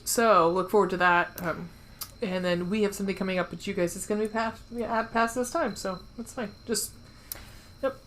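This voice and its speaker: A woman co-hosts a podcast in a loose, conversational way. Her voice sits at a middle pitch, and she is playful and unguarded, happy to swear. Clear background noise and room ambience come through on the recording. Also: normal speed, a bit bright. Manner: informal, profane, playful. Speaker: podcast co-host. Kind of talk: casual chat.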